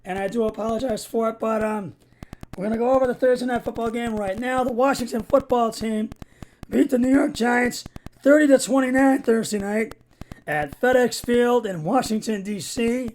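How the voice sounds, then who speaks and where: Raspy, halting man recapping sports. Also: very high-pitched, darkish tone, a little hiss or hum, low-quality recording. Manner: halting, hesitant delivery with frequent stumbles. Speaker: sports show host. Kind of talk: amateur football game recap.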